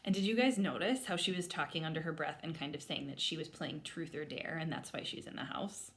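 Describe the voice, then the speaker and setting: A woman's voice: low voice, brisk. A woman teacher leading an interactive read-aloud lesson for young children in an explanatory manner. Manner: explanatory, spelling words out while writing. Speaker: elementary school teacher.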